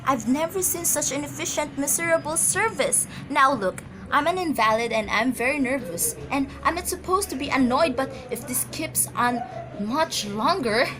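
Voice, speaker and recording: A very high-pitched, nervous invalid wife acted by a woman in an amateur radio play. She is demanding and emotional, full of complaint. The recording has clear background noise. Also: expressive, moderate pace. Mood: irritated. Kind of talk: amateur radio drama acting.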